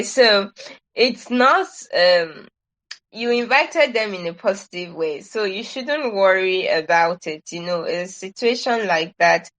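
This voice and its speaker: Woman, slow pace, fairly low pitch, earnest advisory talk, emphatic. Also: a bit bright. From Nigeria. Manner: earnest, emphatic, repeats advice. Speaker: presenter. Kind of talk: informal advisory presentation to an online audience.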